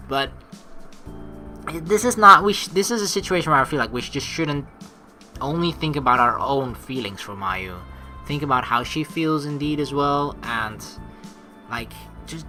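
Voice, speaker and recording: Man, bright forceful voice, casual game commentary, rambling, thinking aloud, soft music behind. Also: medium pitch. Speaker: let's play commentator. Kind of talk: casual game commentary with reading of on-screen text.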